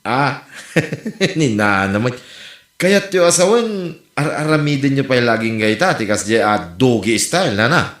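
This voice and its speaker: Comic village buddy, played by a man: Ilocano accent, swooping pitch, teasing and exclamatory, roomy recording. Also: very animated, normal speed, fairly bright tone. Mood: amused, incredulous. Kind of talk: Ilocano comedy drama acting. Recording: roomy echo.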